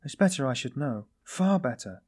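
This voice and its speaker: Guy reading as a distraught naive young woman, higher voice, bit raspy, audiobook drama. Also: lively intonation, moderate pace, darkish tone, natural voice. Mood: earnest, insistent. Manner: anguished, naive, exclamatory. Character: distraught, timid young woman, pleading and bewildered.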